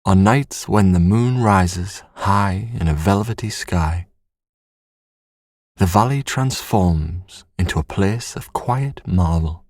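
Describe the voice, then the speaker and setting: A man narrating a scripted sleep story in a soothing, measured, hushed bedtime manner. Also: low-pitched, no room sound, studio quality.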